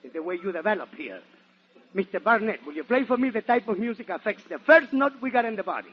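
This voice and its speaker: A man with a Nicaraguan Spanish accent delivers comedy in a theatrical 1940s radio manner. His voice is high and swings widely in pitch. The old recording is dull and muffled. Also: quick pace. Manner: theatrical, animated, exclamatory. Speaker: guest comedian and entertainer on a radio show. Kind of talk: 1940s radio comedy monologue before a live audience.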